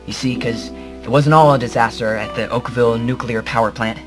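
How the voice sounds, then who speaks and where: A male actor plays a hapless would-be hero narrating a comedic radio drama. His mid-range voice is earnest and halting, drifting into rambling melodrama, with clear music behind. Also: mid-range voice, fairly even intonation, lightly acted.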